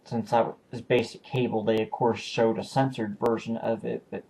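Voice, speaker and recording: A man casually talking through his new disc purchases, relaxed and unscripted, pausing and correcting himself as he goes. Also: on the low side, creaky, poor audio. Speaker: video collector showing new purchases. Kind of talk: casual home video review.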